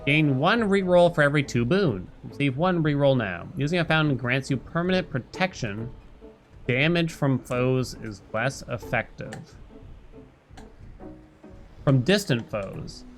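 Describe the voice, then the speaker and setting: Male game streamer, mid-pitched, casual rambling commentary to live chat, music underneath. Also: animated, normal speed. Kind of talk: casual live gameplay commentary, reading chat and on-screen text.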